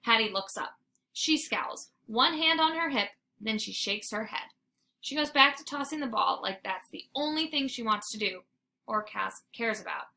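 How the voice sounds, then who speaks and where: Female children's read-aloud narrator: teacherly, expressive, highish and sharply bright. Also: normal speed, vocal fry, slight background noise. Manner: animated, theatrical.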